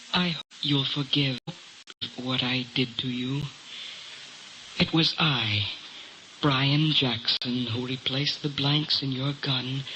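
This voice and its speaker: A calm, vindictive dying brother in an old radio play. His delivery is very slow and pointed, with a bright, forceful edge over a noisy broadcast. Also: medium pitch. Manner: measured, pointed letter reading. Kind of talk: mid-century radio drama monologue.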